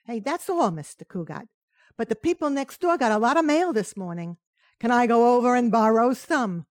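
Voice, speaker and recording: A woman's voice: a little high, animated, moderate pace, no room sound, studio quality, close to an everyday voice. A woman playing a naive but cheeky household maid in an old-time radio sitcom, with guileless comic questions and remarks.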